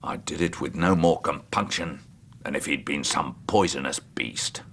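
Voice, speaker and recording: A dying old landowner in a radio play, bitter and unrepentant. His voice is extremely deep, cracked with gravel and husky, and he speaks with slow, heavy emotion. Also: fairly bright tone, heavily acted. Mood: grim, defiant. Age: older. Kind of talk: radio drama acting.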